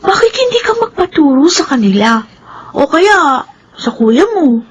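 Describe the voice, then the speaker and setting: A woman playing a kind, curious young schoolgirl in a Filipino radio drama, expressive and questioning, with a husky voice. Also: sits high, a little slow. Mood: sympathetic, puzzled.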